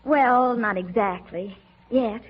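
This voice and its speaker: An actress playing a gentle, earnest young niece in an old-time radio situation comedy. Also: female voice, on the high side, slow, husky. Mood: warm, earnest. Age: young adult. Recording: muffled old radio recording.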